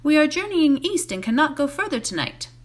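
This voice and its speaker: A guarded young male hobbit from a storybook, as a woman reads him. The pitch sits higher and moves around freely. He is courteous and firm in his manner. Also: big pitch swings, brisk. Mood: polite, guarded. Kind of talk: storybook character voice in a read-aloud.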